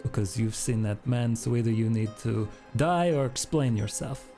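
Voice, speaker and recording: Guy doing a fed-up threatening guard for a tabletop rpg, curt, soft-edged mellow voice. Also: medium pitch, normal speed, faint music behind, close to an everyday voice. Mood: exasperated, menacing.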